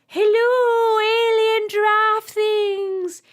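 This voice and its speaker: Woman acting out a loud, showy little robot guide. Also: very high-pitched, little pitch movement, drawn-out words, voice pushed up, heavily acted. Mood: loud greeting. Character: small male robot guide, bossy, jumpy, showy.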